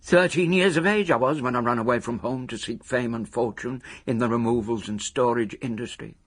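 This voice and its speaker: An actor playing a small, obsessive, retired old removals packer in a radio monologue, husky and wordy, wistful and full of self-importance. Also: male voice, mid-range voice, brisk, natural voice. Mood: nostalgic, aggrieved. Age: older. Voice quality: raspy, husky. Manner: wordy, self-important, working-class grammar with grand phrases.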